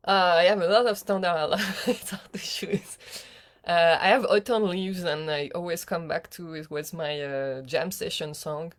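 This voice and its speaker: Laughing French woman, lowish voice. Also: steady tone, normal speed. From France. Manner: giggly, laughs often mid-sentence. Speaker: guest singer. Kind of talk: casual chat.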